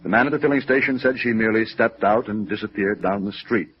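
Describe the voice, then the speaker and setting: A man playing a proud, lovelorn older gentleman in a 1950s radio drama, formal and defensive, with bitterness underneath. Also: lowish voice, quick pace, slightly rough. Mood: defensive, pained. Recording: muffled vintage radio recording.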